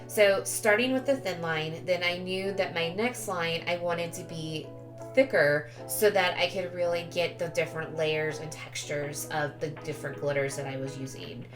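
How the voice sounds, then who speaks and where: A woman's voice: on the low side, steady tone, moderate pace. A woman giving a casual, chatty craft tutorial voice-over, sounding sniffly with a cold and often correcting herself. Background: background music.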